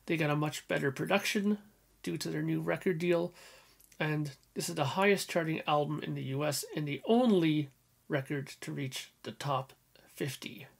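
A man with a hoarse voice casually talking through his record collection in a small home room, clearing his throat often. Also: a little high, normal speed. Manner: enthusiastic, frequent throat clearing. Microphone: headphones on, webcam or desk mic.

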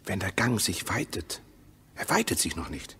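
An actor playing an insistent, mistrustful husband in a German radio play, speaking in clipped, deliberate phrases with a husky voice. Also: male voice, low-pitched, big pitch swings, pushed and bright, full character voice. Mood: guarded, measured. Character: insistent, mistrustful husband exploring underground with his wife.

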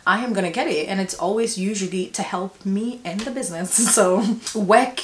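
A woman's voice: medium pitch, moderate pace, echoey room, slight background noise. Chatty Ghanaian woman in her twenties. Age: 20s. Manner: chatty, emphatic, laughs often. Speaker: beauty vlogger. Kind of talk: casual talk while doing makeup. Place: small indoor room.

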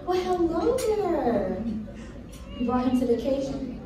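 Sweet, doting fairy-tale princess. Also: female voice, sits high, sing-song, slow, a crowd in the background, full character voice. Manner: sweet, fawning, speaks to children. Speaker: princess character hosting a tea party. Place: large ornate dining room on a cruise ship. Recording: echoey dining hall, far from the mic, music behind.

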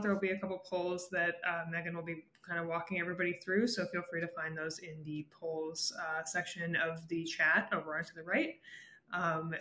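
A woman's voice: on the low side, pushed and bright. A woman introducing an online panel over a video call, upbeat with frequent ums. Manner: quick, upbeat with filler ums. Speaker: event host.

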